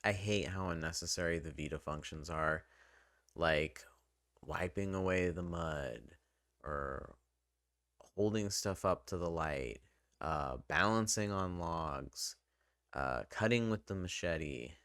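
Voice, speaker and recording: Male game reviewer, deep voice, conversational and wry, very dry recording. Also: takes it slowly, a bit bright, no echo.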